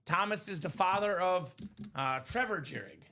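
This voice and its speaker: A young man from Bulgaria hosting a live sports card break stream, upbeat and joking, shouting out buyers by name. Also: high-pitched, expressive, moderate pace. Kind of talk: casual live-stream sales chat.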